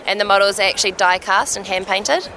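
A woman representing a collectibles company, giving a polished sales pitch. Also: quick pace, bright, forceful tone, poor audio. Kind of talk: product pitch.

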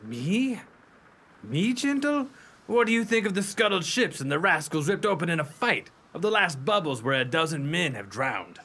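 An actor playing a gentle, mysterious pirate who speaks in a formal, poetic way in a radio play. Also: male voice, fairly high pitch, very animated, normal speed, heavily acted. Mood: boastful. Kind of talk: earnest theatrical radio acting.

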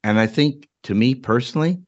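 A man's voice: medium pitch, fairly quick, muffled recording. A man, a podcast host, speaking as a storyteller who reads and talks about his own work. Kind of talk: dramatic story narration and casual chat.

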